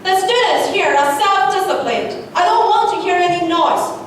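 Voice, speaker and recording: A woman's voice: very high-pitched, expressive, moderate pace, a crowd in the background. A woman teacher sternly addressing students at a school assembly, scolding them with pointed questions. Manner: stern, admonishing, rhetorical questions. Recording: very echoey hall, distant, noisy.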